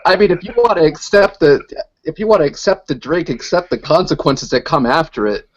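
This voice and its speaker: A man's voice: on the high side, a little fast. A man co-hosting a radio show in casual, joking chat over an online call. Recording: dull lo-fi online call audio.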